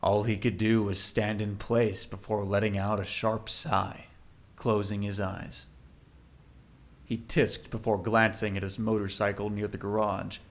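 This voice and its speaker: A man's voice: fairly low pitch, little pitch movement, a little slow. A man narrating a scripted audio drama in a dramatic storytelling style.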